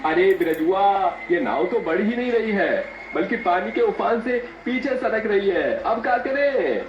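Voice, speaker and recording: A man's voice: sits high, expressive, unhurried, noisy background. A man playing a grumbling, easily frightened village fisherman with rural north Indian Hindi and exclamatory, exaggerated delivery. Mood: worried. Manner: exclamatory, exaggerated rustic talk. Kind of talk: animated dramatized story dialogue. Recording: echoey, dull, distant, over music.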